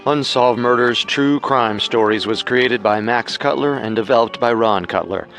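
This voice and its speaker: A man hosting a podcast, delivering scripted true-crime narration in a measured, dramatic storytelling manner. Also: mid-range voice, a bit bright.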